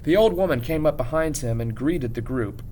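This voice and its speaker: A man reading a story aloud as a teacher would, in an expressive, measured narration with dramatic pauses. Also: medium pitch, a little hiss or hum.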